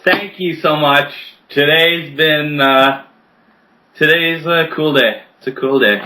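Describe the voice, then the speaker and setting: Young man, early twenties, bright voice, crude humor, laughs mid-sentence, casual chat. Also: fairly high pitch, slow, slight background noise. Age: 20s. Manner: crude, joking, laughs while talking. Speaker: podcast guest.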